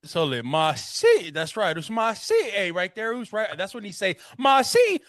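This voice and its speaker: A man's voice: high-pitched, huge pitch swings, moderate pace, a bit bright, dry recording, clean studio sound. A man co-hosting a podcast, joking and teasing in hyped slang. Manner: hyped, slangy, teasing, calls out "let's go, dude". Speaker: podcast co-host. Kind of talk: joking banter.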